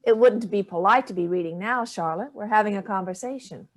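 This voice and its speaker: A woman's voice: medium pitch, brisk, close to an everyday voice. Woman playing an imperious, dry-tongued grandmother. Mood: dry, cutting. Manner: dry, lofty, pointed. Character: imperious, cutting grandmother, drink in hand. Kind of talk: staged play reading.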